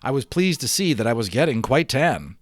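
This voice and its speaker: A man's voice: medium pitch, very animated, brisk, no room sound, clean studio sound. A man giving a dramatic storybook read-aloud of a children's novel, with theatrical, emphatic phrasing.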